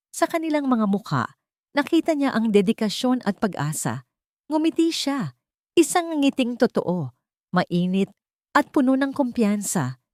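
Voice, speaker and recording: A woman's voice: mid-range voice, a little mellow, dry recording, clean studio sound. A woman reading a dramatic scripted story as narrator.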